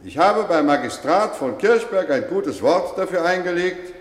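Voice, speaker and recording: Ein Schauspieler spielt einen feierlichen, bewegten Lehrer mittleren Alters, der in einem Hörspiel gemessen zu seinen Schülern spricht. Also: male voice, high voice, lively intonation. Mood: solemn, moved. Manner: deliberate, measured oratory. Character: male schoolteacher, solemn, deeply moved, addressing pupils. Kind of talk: radio play speech to an assembly. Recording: echoey hall with long reverb.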